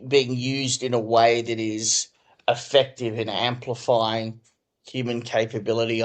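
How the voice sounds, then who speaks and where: Flat-toned, measured male tech executive. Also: medium pitch. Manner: measured and thoughtful, many hedges like "I think". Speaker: company co-founder and CEO, podcast guest. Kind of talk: conversational interview answers.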